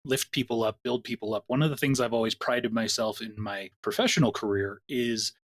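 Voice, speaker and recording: A man's voice: mid-range voice, steady tone, a little fast. A man who produces a podcast, talking at length in a casual discussion with plenty of examples. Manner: expansive, storytelling.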